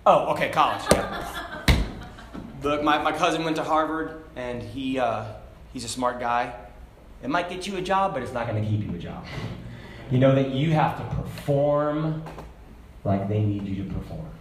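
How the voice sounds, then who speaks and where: A man preaching a sermon to a congregation, talking casually and then building to loud, fervent shouts. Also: mid-range voice, animated, normal speed, slight background noise. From Hong Kong. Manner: conversational preaching, rising to shouts. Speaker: pastor. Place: church sanctuary. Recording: echoey church room.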